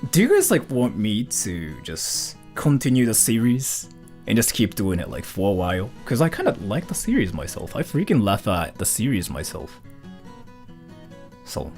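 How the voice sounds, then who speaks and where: Rambly streamer guy with lively pitch. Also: normal speed, soft background music. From Japan. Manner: rambling and loose, full of "like" and "uh". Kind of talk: casual livestream chat, reading viewer questions.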